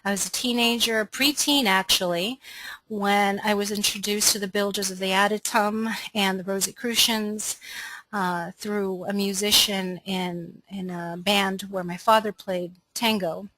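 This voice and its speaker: A middle-aged American woman from Los Angeles hosting a class, introducing herself informally in a reflective, conversational way with pauses to gather her thoughts. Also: medium pitch, steady tone, noisy background. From Los Angeles, United States. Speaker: webinar host and astrology course facilitator.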